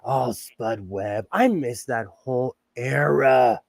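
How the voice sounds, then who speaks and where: A man's voice: medium pitch, slow, vocal fry, no room sound. A middle-aged man with a Southern Californian accent, hosting a casual livestream and rambling between chuckles while he greets viewers. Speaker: livestream host. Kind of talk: casual chat while sewing, reading chat.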